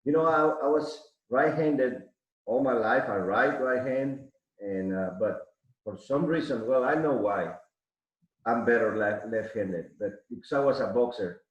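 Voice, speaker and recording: A man's voice: medium pitch, measured pace. Middle-aged man, echoey muffled audio. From Mexico City, Mexico. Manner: rambling, frequent restarts and fillers. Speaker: podcast guest, arm wrestler. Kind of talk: casual interview storytelling.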